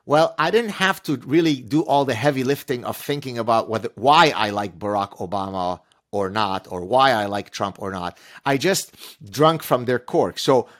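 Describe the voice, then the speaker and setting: Emphatic man with Arabic as his first language, a podcast guest who talks with animated sarcasm at an ordinary pitch for a man. He lays on his points with heavy, pointed emphasis. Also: normal speed, few pauses. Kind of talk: podcast conversation.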